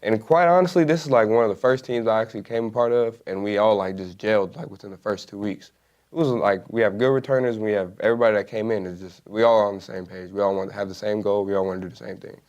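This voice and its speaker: A young college athlete answers questions in a relaxed, offhand way, leaning on fillers. His voice sits low and the words come fast. The recording is dull and lo-fi. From Las Vegas, Nevada. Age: young adult. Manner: laid-back, many fillers like "you know" and "like". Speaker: college basketball player. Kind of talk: casual interview answers.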